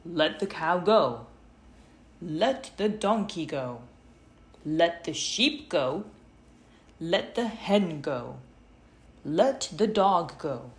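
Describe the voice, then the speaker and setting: A woman voicing a storybook wise man who gives firm, deliberate commands. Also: on the low side, big pitch swings, heavily acted. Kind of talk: storybook read-aloud character. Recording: roomy, noticeable background noise.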